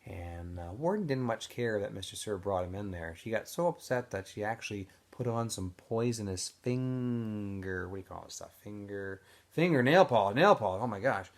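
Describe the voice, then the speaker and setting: Expressive male teacher reading aloud. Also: on the low side, moderate pace. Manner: casual, chatty recap with fillers, then expressive reading. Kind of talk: read-aloud of a novel for students.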